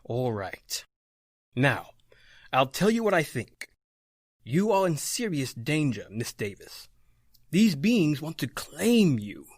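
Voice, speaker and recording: An actor playing a solemn, authoritative older man in old-time radio horror, measured and portentous. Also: on the high side, big pitch swings, no echo, clean studio sound, full character voice. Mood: ominous.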